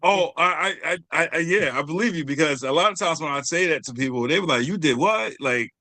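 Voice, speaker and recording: A man's voice: fairly high pitch, a little fast, pushed and bright, dry recording. A man with an American accent chatting informally and affirmingly as he hosts an interview. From United States. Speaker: podcast host. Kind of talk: casual interview chat.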